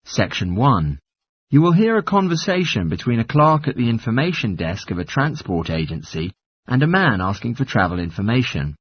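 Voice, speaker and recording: A man's voice: mid-range voice, sing-song, normal speed, slightly rough, dry recording. A man narrating scripted exam instructions in a formal, instructional manner. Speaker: test narrator.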